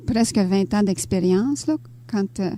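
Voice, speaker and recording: An older woman from Ontario with a Canadian French accent, answering interview questions conversationally and pausing often as she thinks. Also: mid-range voice, mellow, slight background noise. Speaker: interview guest, author and teacher. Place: radio studio.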